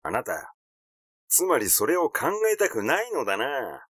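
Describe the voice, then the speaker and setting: A man's voice: mid-range voice, wildly swooping pitch, measured pace, fairly bright tone, no room sound, clean studio sound, full character voice. Man reading as a blunt, teasing amateur sleuth. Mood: insinuating. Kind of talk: audiobook character dialogue.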